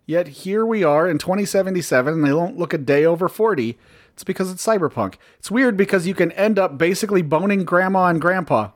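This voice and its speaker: Man, slightly high voice, sardonic, deadpan reading, swears freely, casual podcast. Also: a little fast. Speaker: podcast co-host reading a review aloud. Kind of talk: casual banter, reading aloud.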